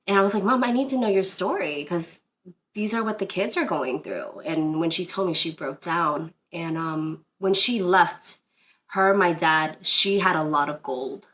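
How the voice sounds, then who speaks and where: A woman's voice: fairly low pitch, unhurried, a bit bright, slight background noise. A woman from Northern Virginia, a DJ guest, telling a personal story in a casual interview, earnest and rambling with many fillers and restarts.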